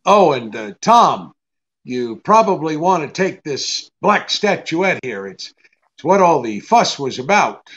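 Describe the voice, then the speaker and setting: An actor playing a cynical, unyielding private detective in a 1940s-style radio drama, with terse, halting tough talk. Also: male voice, on the high side, big pitch swings, a bit bright, highs cut off. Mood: dry, sardonic.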